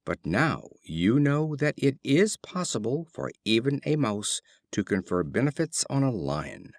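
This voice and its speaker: A small, proud storybook mouse, voiced by a man. It speaks earnestly, pleading and self-righteous, with swooping pitch and careful slow words. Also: sing-song, no room sound, clean studio sound. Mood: proud, reproachful.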